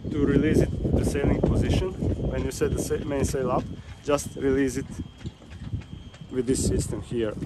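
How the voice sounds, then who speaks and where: Man on a windy boat deck. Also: medium pitch. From Croatia. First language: Croatian. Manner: plain, step-by-step instructions with restarts while handling gear. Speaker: yacht charter guide. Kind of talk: hands-on boat tutorial. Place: outdoors on a sailboat deck in a marina. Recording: loud wind noise on outdoor mic.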